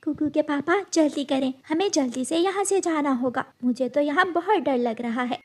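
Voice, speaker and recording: A woman voicing a gentle, earnest mother bird in a children's cartoon. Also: high voice, normal speed, natural voice. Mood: anxious. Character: gentle anxious mother songbird.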